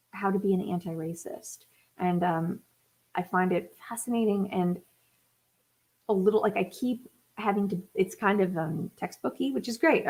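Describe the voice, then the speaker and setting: A woman in her thirties talks loosely and at length to her viewers, filling her sentences with "um" and little laughs. Her voice sits at an ordinary middle pitch and her talk wanders from one thought to the next in a relaxed, chatty way. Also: creaky, poor audio. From United States (Atlanta area). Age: 30s. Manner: chatty and rambling, many fillers, laughs often. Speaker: musician hosting a live stream. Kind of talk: casual live-stream chat reading viewer comments.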